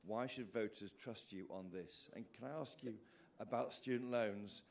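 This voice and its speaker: A man working as a reporter questions a politician at a press conference. His voice is on the low side, and his delivery is pointed and challenging. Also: normal speed, gravelly. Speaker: journalist.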